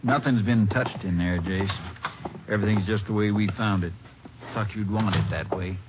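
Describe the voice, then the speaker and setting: Actor as a low-voiced, folksy small-town sheriff. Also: male voice, moderate pace, close to an everyday voice. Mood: sympathetic. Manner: folksy, easygoing. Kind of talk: 1950s radio drama acting. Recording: muffled, noisy old radio broadcast.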